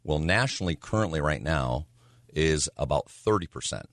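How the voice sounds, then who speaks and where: A man with a hoarse, raspy voice, talking casually as a real estate agent on a radio show, rambling with lots of fillers. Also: a bass voice, animated, moderate pace, a bit bright, dry recording, studio quality. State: hoarse from yelling.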